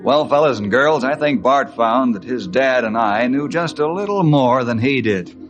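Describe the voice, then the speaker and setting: A man's voice: medium pitch, lively intonation, normal speed, music in the background, lightly acted. A man playing a friendly, fatherly ranger leader with an instructive manner in an old-time radio adventure. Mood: reassuring, instructive. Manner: avuncular, instructive.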